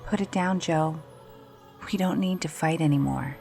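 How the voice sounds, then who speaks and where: A woman's voice: sits low, normal speed, vocal fry, darkish tone, faint music behind. A woman playing a cool, capable ally in a radio play thriller, speaking in terse, unfazed lines. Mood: coaxing.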